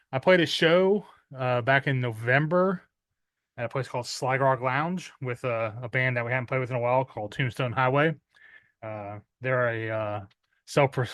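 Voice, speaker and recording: A man in his thirties casually showing off his metal music purchases at home, rambling with many "uh" fillers and clearing his throat often. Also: medium pitch, a bit bright, no echo. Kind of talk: casual unboxing chat.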